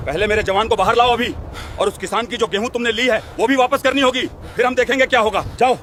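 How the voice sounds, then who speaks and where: A man playing a commanding, protective army officer, authoritative and indignant, in a scripted animated moral story. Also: extremely high pitch, quick pace, a little hiss or hum. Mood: stern. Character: commanding male army officer, stern protector of his men.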